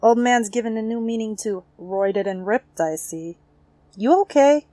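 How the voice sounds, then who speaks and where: Woman as a sassy, high-voiced young street fighter. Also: unhurried, mellow, dry recording, natural voice. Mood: dry, concerned. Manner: cocky, slangy, teasing. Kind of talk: comic-book audio drama acting.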